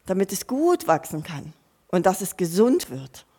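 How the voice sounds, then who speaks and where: A woman's voice: on the low side, very animated, measured pace, mellow, slightly rough. A woman giving a sermon, earnest and personal, speaking straight to her listeners and often restarting or repeating her phrases as she thinks aloud. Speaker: preacher.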